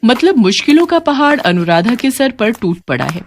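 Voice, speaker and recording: A woman's voice: a little high, very animated, normal speed, slight background noise. A woman reading a scripted moral story as its narrator.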